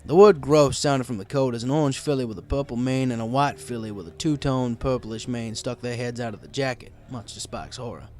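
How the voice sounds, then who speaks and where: A man's voice: mid-range voice, fairly quick, few pauses, a little mellow. A man reading a story aloud as first-person narrator.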